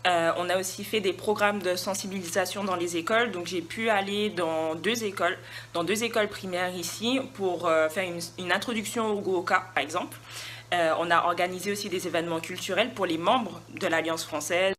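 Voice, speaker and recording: A woman's voice: lowish voice, fairly even intonation, fairly quick, fairly bright tone. A young woman from Guadeloupe, a student intern, giving an informal video testimonial. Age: young adult. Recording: noisy self-recorded video with background ambience.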